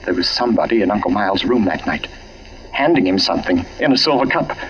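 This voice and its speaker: A man's voice: fairly low pitch, lively intonation, quick pace, close to an everyday voice. A man playing an anxious, guilt-ridden husband in 1940s radio mystery style, speaking urgently and earnestly. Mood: urgent, insistent. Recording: dull, muffled old radio recording.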